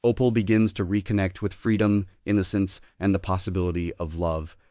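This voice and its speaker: A man's voice: low-pitched, fairly even intonation, fairly quick, warm tone, dry recording, clean studio sound. A man giving scripted narration, reading out the stage directions of a play.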